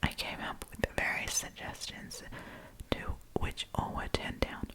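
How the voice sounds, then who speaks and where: A woman whispering in a breathy, chatty, informal way as she hosts an ASMR storytime, reading aloud and commenting. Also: unhurried, pushed and bright. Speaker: host of storytime series.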